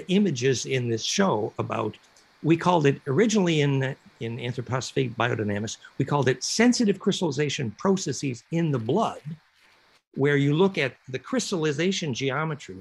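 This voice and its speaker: A man lectures over a video call in a gravelly, husky voice that swings up and down with lively energy. He rambles through his explanation, filling gaps with uhs and restarts. His pitch sits a little high for a man. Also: moderate pace. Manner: animated, rambling explanation with many fillers. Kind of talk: online lecture.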